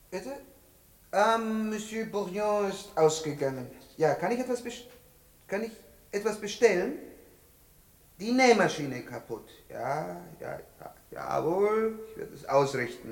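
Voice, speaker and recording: Obsequious hotel clerk, very high voice, drawn-out hesitant talk, echoing room, old radio play. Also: male voice, lively intonation, heavily acted. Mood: servile. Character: obsequious, slow hotel receptionist on the telephone. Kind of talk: 1960s German radio comedy acting.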